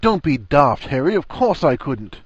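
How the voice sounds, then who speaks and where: Man acting a blunt, teasing young husband, animated. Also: medium pitch, moderate pace, breathy edge, dry recording, dull audio. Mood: mock scolding. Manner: blunt, cheeky, slangy.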